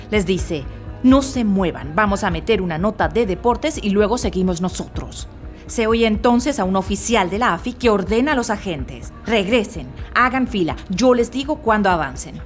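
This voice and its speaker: An actress playing a determined, principled foreign investigative reporter in an audio drama, with an assertive, earnest delivery. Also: female voice, medium pitch, expressive, normal speed, faint music behind, natural voice. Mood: urgent. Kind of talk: Spanish audio drama acting.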